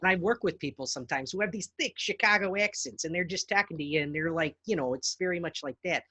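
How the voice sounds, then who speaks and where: A middle-aged man with a high voice talks as a guest in a relaxed interview. He is chatty and self-deprecating, with lots of ums and restarts. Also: fast talker, breathy edge. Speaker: actor and guest. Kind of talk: casual interview chat.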